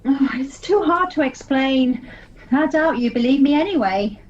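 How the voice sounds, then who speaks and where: A woman playing an emotional, tired, guarded young woman in an audio play, halting and dramatic. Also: high voice, lively intonation. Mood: weary, guarded. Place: recorded at home during lockdown. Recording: muffled home recording.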